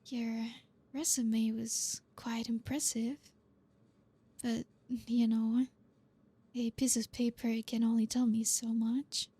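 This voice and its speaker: A woman acting as teasing dominant lady boss, ASMR roleplay, soft mellow tone, even intonation, breathy half-whisper. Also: a little high. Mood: composed, suggestive. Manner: breathy, slow, often dropping into whisper.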